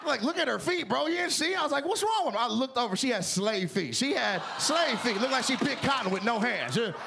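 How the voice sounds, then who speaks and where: A man from Seattle doing stand-up on a studio stage with a handheld mic, loud and animated, shouting his punchlines. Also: extremely high pitch, brisk, gravelly, slight background noise. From Seattle, Washington. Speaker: stand-up comedian. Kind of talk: stand-up comedy. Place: large TV studio stage with live audience.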